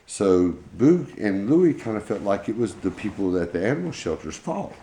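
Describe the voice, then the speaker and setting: A man's voice: on the low side, big pitch swings, normal speed, warm tone, roomy sound, a little hiss or hum. A man telling an informal children's bedtime story in a folksy, colloquial way. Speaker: pet channel host telling a bedtime story.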